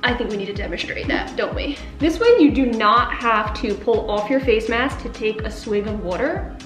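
A woman's voice: fairly high pitch, lively intonation, moderate pace, echoey room, music in the background. A young woman in her twenties chatting to camera in a bubbly, slangy way about what she brings to the gym. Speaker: fitness vlogger. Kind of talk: casual chatty product rundown. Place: bright indoor home kitchen.

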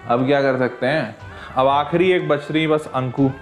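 A man's voice: mid-range voice, measured pace. Male, thirties, playful teacher making casual prank chat, light music bed. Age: 30s. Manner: playful, teasing. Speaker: online teacher. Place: indoor teaching studio. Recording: background music under the voice.

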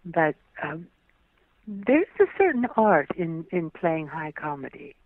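A woman's voice: deep voice, sing-song, dry recording. An older woman speaks graciously, stretching her words and affirming with frequent yeses. Her voice comes down a thin, tinny phone line. It sounds dry, with no room around it. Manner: gracious, drawn-out, affirming yes often. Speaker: guest actress. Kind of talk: interview recollection.

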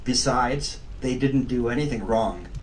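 A man's voice: medium pitch, unhurried, slight background noise, lightly acted. A man playing a haughty, stern temple bishop in a video game fandub, speaking in a pompous, solemn way. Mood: grave recounting. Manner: pompous, solemn, archaic phrasing. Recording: distant mic under game music.

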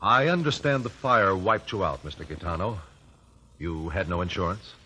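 An actor playing a composed gentleman lawyer, measured and formal, in a 1950s radio drama. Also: low voice, slightly rough, heavily acted. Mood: probing. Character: probing, composed gentleman lawyer questioning witnesses. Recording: faintly noisy old radio recording.

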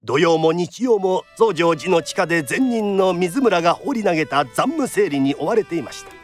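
A man's voice: high-pitched, animated, moderate pace, faint music behind. A man narrating a radio drama as a theatrical storyteller with polite phrasing.

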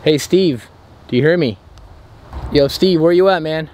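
A man vlogging as he explores outdoors, talking in a jittery, repetitive way with frequent swearing, often dropping into a hush. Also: fairly high pitch, a little slow, dull audio. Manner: jittery, breathless, heavy swearing, repeats phrases. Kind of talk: whispered and hushed first-person exploration vlog. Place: outdoors at an abandoned campsite. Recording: noisy outdoor handheld ambience.

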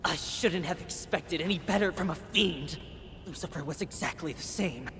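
A woman's voice: fairly low pitch, normal speed, noisy background, lightly acted. A woman voicing a self-righteous, easily enraged childlike angel villain in a video game, pompous and declamatory. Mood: bitter scorn. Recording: long reverb under music.

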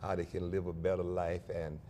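An older man, a pastor, speaking earnestly and at a measured pace in a studio interview on a lapel mic, with small restarts. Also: low voice, monotone, dark tone, slight background noise. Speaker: pastor and convention guest.

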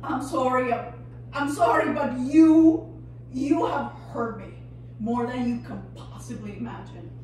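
Very echoey, high-pitched woman acting hurt husband, dramatic scene, accusing, bitter, halting, distant from mic. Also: a little mellow. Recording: very echoey room, distant mic, faint hiss.

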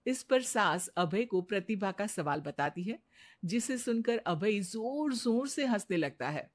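A woman narrating a scripted animated moral story as a storyteller. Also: medium pitch, a little slow.

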